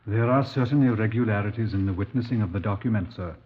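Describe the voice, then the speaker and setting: An actor playing a deferential, earnest police sergeant with respectful, formal phrasing in a 1950s radio drama. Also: male voice, lowish voice, quick pace, lightly acted. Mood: careful, earnest. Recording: dull old 1950s radio recording.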